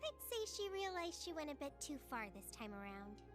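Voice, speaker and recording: A woman's voice: high voice, very animated, moderate pace, over music. An actress playing a spirited, frank young woman in a video game, speaking expressively. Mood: exasperated.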